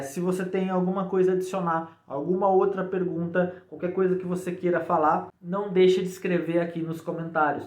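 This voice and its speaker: Man, Brazilian accent, slightly high voice, casual tutorial host, informal check-in tags, echoey room. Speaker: programming tutorial YouTuber. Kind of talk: casual explainer to viewers.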